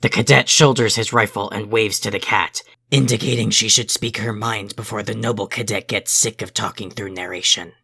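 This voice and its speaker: A man's voice: fairly low pitch, fairly even intonation, moderate pace, few pauses, pushed and bright, exaggerated voice. A man playing a grumpy, sarcastic young alien cadet who secretly cares, in a fan audio drama. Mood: exasperated. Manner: exasperated, sarcastic, gruff.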